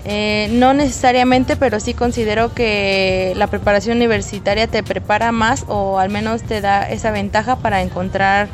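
A woman's voice: medium pitch, fairly even intonation, normal speed, hardly any pauses, soft background music. A woman student, answering in an interview. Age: 20s.

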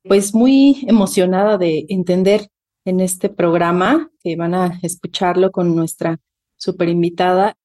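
A woman co-hosting a radio program, reading information in a steady way. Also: lowish voice, measured pace, dry recording. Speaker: radio co-host. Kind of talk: scripted radio narration. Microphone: studio microphone.

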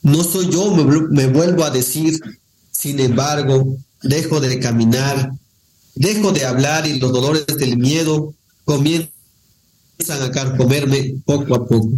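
A man, a Nahuatl speaker from Guerrero, reading poems and explaining language in an unhurried way, with many pauses and fillers. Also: medium pitch, darkish tone, poor audio. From Guerrero, Mexico. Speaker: guest poet. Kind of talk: poetry reading and casual conversation.

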